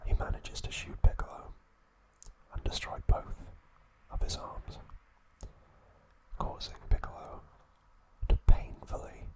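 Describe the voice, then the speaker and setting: A man narrating trivia as an ASMR host, hushed and deliberate, in short separated phrases. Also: rough recording.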